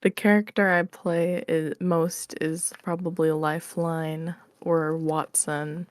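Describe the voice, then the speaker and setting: A woman with a slightly low voice and a dry, close sound chats casually as an interview guest. Her manner is candid and a little self-deprecating, with a giggly streak. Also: flat delivery, takes it slowly, no echo. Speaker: interview guest, audio roleplay creator. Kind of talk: casual chat. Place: online voice call.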